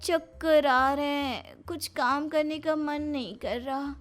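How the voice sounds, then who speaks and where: Woman acting a lazy, scheming, high-pitched young daughter-in-law. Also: slow pace, faint music behind. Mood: feigned weakness. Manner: exaggerated, whiny, sly.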